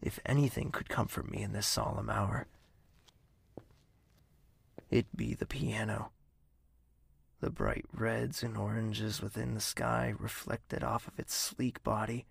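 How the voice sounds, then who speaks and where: A lovelorn, sleepless castle dweller, played by a man, narrates a fantasy audio drama. His mid-pitched voice is breathy and husky, and he lingers slowly over short, pensive phrases full of melancholy. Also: steady tone, no room sound, exaggerated voice. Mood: melancholic, searching.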